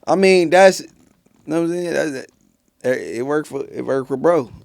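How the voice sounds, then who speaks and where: A man in casual conversation, speaking slangy, halting and repeating words. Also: a little high, creaky, a little mellow, no echo, clean studio sound. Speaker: host. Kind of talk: casual chat.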